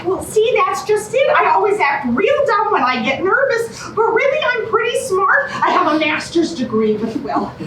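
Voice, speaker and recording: High-voiced ditzy woman, acted on stage, echoey. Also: very animated, normal speed, few pauses, fairly bright tone, slight background noise, heavily acted. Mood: nervous, chirpy. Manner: chatty, folksy, gushing. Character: ditzy, nervous, cheery woman contestant with cheerful bad-luck stories. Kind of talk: staged musical comedy reading. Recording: echoey stage, distant mic, music behind.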